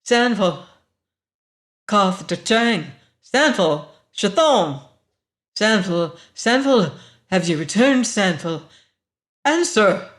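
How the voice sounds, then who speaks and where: A man's voice: sits high, very animated, takes it slowly, pauses often, fairly bright tone, exaggerated voice. A man voicing a proud, powerful young woman in a story, with a commanding, urgent, ringing delivery.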